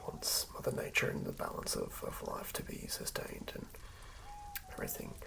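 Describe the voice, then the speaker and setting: A person whispering softly in an ASMR style, narrating a tabletop character build in a breathy, creaky hush. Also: moderate pace. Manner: hushed whispering. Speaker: ASMR creator.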